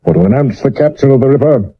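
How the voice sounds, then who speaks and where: A man acting a sober Victorian London police inspector with a British accent and a measured, deferential way of explaining, in a 1970s radio drama. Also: medium pitch, expressive, a little hiss or hum, natural voice. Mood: grave, explanatory. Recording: dull medium-wave radio recording.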